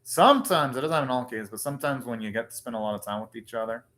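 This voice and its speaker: A man with a medium-pitched voice gives live reaction commentary as an anime host. He speaks casually, rambling a little as he thinks aloud in short remarks. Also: racing, fairly bright tone.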